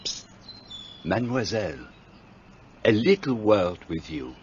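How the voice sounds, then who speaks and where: An actor playing a precise, courteous middle-aged detective in a radio play. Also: male voice, lowish voice, expressive, measured pace, a little hiss or hum. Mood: coaxing. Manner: courteous, precise, slightly formal foreign phrasing. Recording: dull lo-fi sound.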